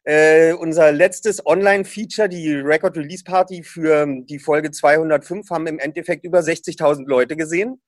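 A man's voice: on the high side, steady tone, normal speed, hardly any pauses, dry recording. A man conducts a friendly interview in a slightly raised voice. He stops often to search for words. The recording is close and dry. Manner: hesitant, lots of fillers. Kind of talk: casual interview.

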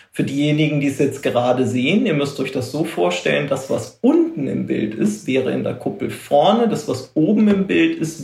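Man, creaky mid-pitched voice, informal interview explanation, hesitant, self-correcting, roomy echo. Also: darkish tone. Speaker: visual production expert.

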